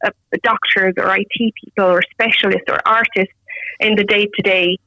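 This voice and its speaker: A woman's voice: medium pitch, flat delivery, a little fast, pushed and bright, no room sound, rough recording. A woman from Romania, speaking earnestly as a guest in an interview and often restarting her sentences. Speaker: guest solicitor. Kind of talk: conversational interview.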